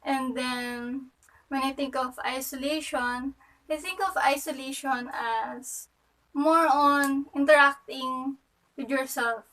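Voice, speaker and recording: A young woman with a Filipino accent speaks in a very high voice at an unhurried, slow pace. She thinks aloud hesitantly as she explains herself. The microphone sounds some distance away. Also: steady tone, fairly bright tone. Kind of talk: reflective explanation to camera.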